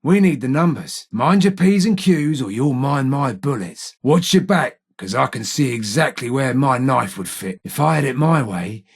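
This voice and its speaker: An actor playing a cocky, menacing street-gang gunman in a video game, with a raspy voice, a working-class English accent and a taunting, slangy manner. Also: a little high, exaggerated voice. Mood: gruff, friendly, then cocky, threatening. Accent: British, working-class English. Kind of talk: video game character voice lines, combat barks.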